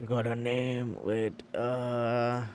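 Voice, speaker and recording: A man's voice: mid-range voice, level tone, extremely slow, a little mellow, a little hiss or hum. A man giving casual, rambling playthrough commentary as a gaming streamer, with murmured asides. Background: game music.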